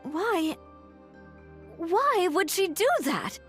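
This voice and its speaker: Game sidekick: small childlike fairy, piercingly high, very animated, easily indignant, over music. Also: female voice, extremely slow, full character voice. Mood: puzzled. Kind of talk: video game voice acting.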